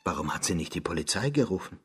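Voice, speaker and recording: Casting: sceptical interrogating listener, radio drama, lowish husky voice, incredulous and accusatory. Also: male voice, very animated, fast talker, faint music behind, full character voice. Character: sceptical, disapproving male listener questioning a confessor.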